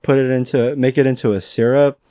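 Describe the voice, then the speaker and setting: Brief: young man, mid-pitched, monotone, slangy and casual, dry close mic. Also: fairly quick, no echo. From Philippines. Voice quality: flat monotone delivery. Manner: laid-back slangy talk, frequent swearing. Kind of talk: casual chat ranking fruits.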